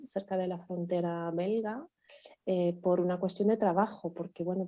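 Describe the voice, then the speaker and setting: Woman guest, low mellow voice, slow and hesitant, video call. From Switzerland. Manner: hesitant, many fillers and restarts. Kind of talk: casual personal sharing in a group chat.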